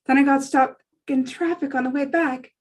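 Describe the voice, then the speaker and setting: A woman's voice: sits high, fairly even intonation, normal speed, far from the mic, close to an everyday voice. A woman reading the part of a nervous, well-meaning church worker in her thirties in a screenplay table read. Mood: nervous, flustered.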